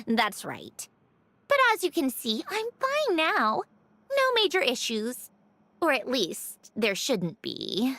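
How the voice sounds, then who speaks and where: An actress playing a haughty, prickly young female genius in a video game, deflecting warmth with proud, dismissive talk. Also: sits high, huge pitch swings, slow, dry recording, exaggerated voice. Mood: proud, defensive.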